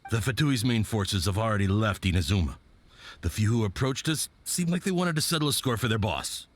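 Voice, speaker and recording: A man's voice: fairly low pitch, expressive, fairly quick. A man voicing a nervous soldier who answers questioning in a hesitant, defensive way in a game. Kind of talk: game voice acting.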